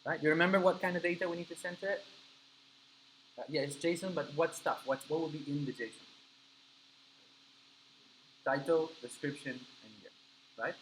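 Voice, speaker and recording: A man's voice: on the high side, moderate pace, pauses often, a bit bright, a little hiss or hum, low-quality recording. A man whose first language is Spanish teaches a live coding class, explaining step by step and checking in with his students. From Argentina. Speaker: coding instructor.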